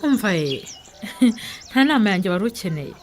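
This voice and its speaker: Actress as a teasing village wife, birdsong behind. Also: female voice, medium pitch, big pitch swings, normal speed, natural voice. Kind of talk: radio drama dialogue, conversational. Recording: birdsong and background noise.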